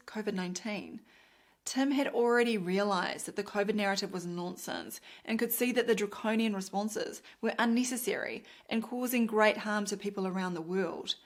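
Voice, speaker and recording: A woman with a New Zealand accent, a doctor narrating her own nonfiction audiobook in a measured, deliberate reading style. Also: medium pitch.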